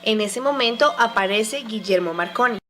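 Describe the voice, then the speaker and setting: A woman's voice: a little high, normal speed, a bit bright, soft background music. A woman narrating an educational radio drama from a script.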